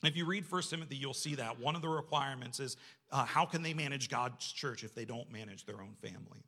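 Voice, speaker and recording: A man's voice: medium pitch, fairly quick, pushed and bright. A man preaching a sermon to a congregation in a conversational, self-deprecating way, often posing rhetorical questions. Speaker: church staff member preaching.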